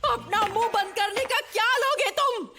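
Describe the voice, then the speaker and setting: A woman playing a dramatic, hot-tempered teenage schoolgirl in an animated cartoon dub, brash and exasperated. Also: very high-pitched, normal speed. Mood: furious. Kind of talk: Hindi anime dub acting. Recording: music behind the voice.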